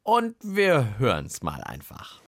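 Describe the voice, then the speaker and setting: A man's voice: mid-range voice, huge pitch swings, slow pace, no room sound, clean studio sound. A man co-hosting a children's podcast in casual, conversational chat.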